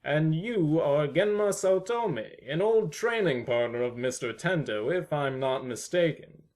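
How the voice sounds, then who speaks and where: A mysterious male neighbour, played by a man in an audio play. His voice is on the high side and he speaks with knowing, theatrical formality. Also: expressive, a little slow, a little mellow. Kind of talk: fan audio drama acting.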